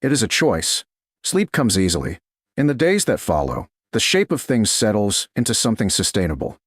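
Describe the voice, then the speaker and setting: A man reading a romance story in a slow, measured narration style. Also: lowish voice, very animated, husky, dry recording.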